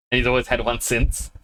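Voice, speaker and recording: A man's voice: mid-range voice, fairly bright tone, a little hiss or hum, poor audio. A man streaming a video game, chatting casually with viewers, laughing often and a little sniffly with a cold. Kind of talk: casual live-stream chat.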